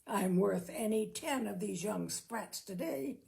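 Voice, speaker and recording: A woman's voice: medium pitch, takes it slowly, gravelly, a little mellow. A woman voicing a surly, proud old man who grumbles and boasts in a storybook reading. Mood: proudly boastful.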